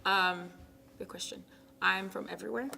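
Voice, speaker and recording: A young woman from Ghana, a college tennis captain, answering interview questions in a soft, casual way with many ums, sometimes dropping to a near whisper. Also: mid-range voice, steady tone, normal speed, creaky. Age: young adult. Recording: noisy background.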